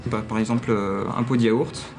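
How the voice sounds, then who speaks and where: A man's voice: medium pitch, fairly even intonation, moderate pace, noisy background. A man in a casual interview as an art director, hesitant and repeating words.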